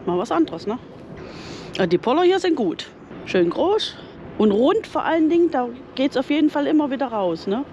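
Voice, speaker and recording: A chatty woman talks easily at a mid pitch and keeps tagging her remarks with little questions. She stands outdoors on a boat, and clear wind noise runs behind her voice. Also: highs cut off. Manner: chatty, comments with "ne?". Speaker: boat vlogger. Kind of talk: casual chat on a boat.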